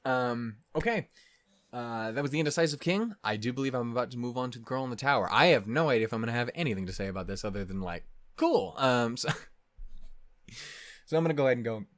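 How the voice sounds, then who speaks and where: This is a man with a mid-pitched voice, recorded dry and close with no room sound. He talks to his viewers as a reaction host, thinking aloud in a casual, unscripted way. His commentary is peppered with swearing and animated asides. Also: a little fast, no echo.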